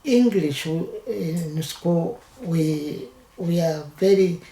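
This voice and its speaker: Older Ugandan woman, low gravelly voice, hesitant, slow, recorded in an echoey room; oral history interviewee. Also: warm tone. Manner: hesitant, halting, with many restarts.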